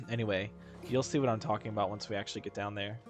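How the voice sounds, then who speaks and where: A man's voice: on the low side, fairly even intonation, fast, soft background music. A man giving casual live gameplay commentary as a streamer, informal and rambling, often correcting himself mid-thought. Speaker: game streamer.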